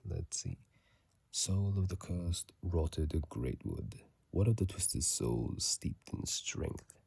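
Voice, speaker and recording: A hushed ASMR host whispers right into a phone mic. The voice is extremely breathy, with a crackly fry underneath and a soft, dark tone. The delivery is unhurried, moving between reading and casual commentary. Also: sits low, expressive, no room sound. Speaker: video creator and host. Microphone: phone mic held close.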